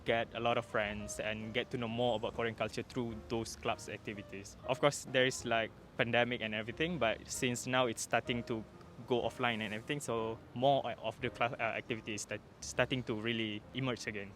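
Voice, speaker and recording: A man's voice: medium pitch, little pitch movement, a little fast, hardly any pauses, fairly bright tone, a little hiss or hum. A man in his twenties with a Malaysian accent, a student answering casually in an outdoor interview. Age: 20s. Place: outdoors on a campus.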